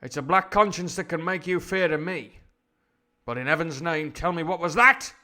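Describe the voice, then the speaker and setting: A man voicing a loyal, defiant sailor in a rough 1950s film version of a London accent, declamatory and earnest. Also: fairly high pitch, moderate pace, sharp tone, voice pushed up. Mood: indignant, heroic. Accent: rough 1950s film approximation of London. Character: loyal, defiant working-class sailor, honest and indignant. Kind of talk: old Hollywood adventure film acting.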